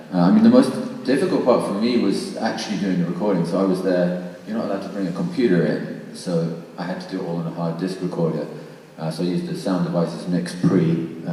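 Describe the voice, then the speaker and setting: A man's voice: low-pitched, dark tone. A man speaking informally on a panel, answering audience questions in a wry, anecdotal way. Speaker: panel speaker who made the recording and takes audience questions. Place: large reverberant indoor venue, live event. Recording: very echoey hall, far mic, faint noise.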